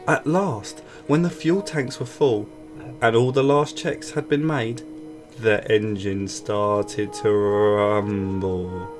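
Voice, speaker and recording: Slow-paced man reading a children's story over music. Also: fairly low pitch, steady tone. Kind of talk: read-aloud scripted story narration, expressive. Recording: music bed behind voice. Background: background music.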